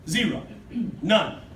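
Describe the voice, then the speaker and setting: Thirties male presenter with a high, punchy delivery, recorded at a distance in a hotel meeting room with faint hiss. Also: a bit bright. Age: 30s. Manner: emphatic, keeps asking "okay?" and "true?", gestures broadly while standing. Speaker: sales presenter. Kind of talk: motivational sales pitch. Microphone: far phone camera in the audience. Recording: roomy, distant phone recording.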